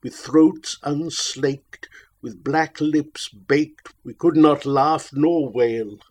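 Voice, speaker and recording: Husky-voiced man reciting dramatic verse. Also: fairly high pitch, darkish tone. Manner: theatrical, solemn, with drawn-out phrasing. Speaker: poetry reader.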